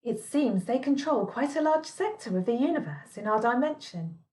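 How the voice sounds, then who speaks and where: A woman's voice: fairly high pitch, lively intonation, moderate pace, darkish tone, natural voice. A woman playing a composed, helpful young space traveller in an amateur audio drama.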